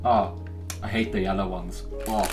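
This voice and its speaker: A young South African man who hosts a live day-trading stream. He chats casually with his viewers, cheers his trades loudly and then drops into low, joking asides. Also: fairly even intonation, normal speed, over music. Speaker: day-trading livestream host.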